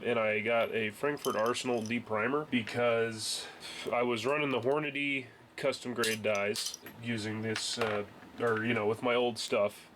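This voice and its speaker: A man's voice: medium pitch, background noise. A young man with a congested voice from a cold, casually explaining his process at a garage workbench, rambling with fillers and restarts. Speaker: home reloading hobbyist.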